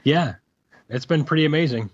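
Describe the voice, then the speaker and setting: A man's voice: a little high, normal speed, dull audio. A man answering casually as a voice actor guest in an interview.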